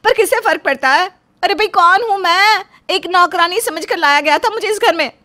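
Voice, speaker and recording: A woman's voice: very high-pitched, normal speed, sharp tone, pitched above the performer's own voice. A woman voicing a fed-up, demanding young housewife who whines and complains in a cartoon story. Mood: bitter, grumbling. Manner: whining, complaining. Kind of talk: Hindi cartoon story acting.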